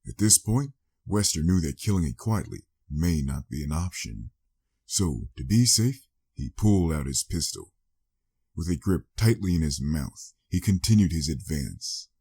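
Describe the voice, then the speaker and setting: A man's voice: extremely low pitch, sing-song, unhurried, mellow, husky. A man reading a scripted story as a dramatic audiobook narrator.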